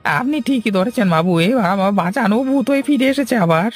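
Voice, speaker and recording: A man plays a terrified, guilty villager in a horror cartoon. His voice is high for a man and stammers in a whining plea, with music behind it. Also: animated, moderate pace, slightly rough. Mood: fearful confessing. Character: panicky guilt-ridden village man begging for his life. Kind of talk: Bengali horror cartoon dubbing.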